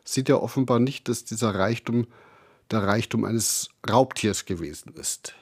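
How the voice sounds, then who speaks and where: A man's voice: lowish voice, normal speed, husky. A man making a considered point in a spontaneous discussion.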